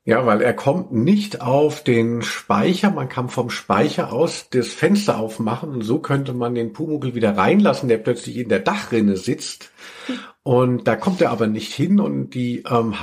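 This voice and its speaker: A man's voice: medium pitch, animated, normal speed, a little mellow. Man chatting casually on a podcast. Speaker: podcast host.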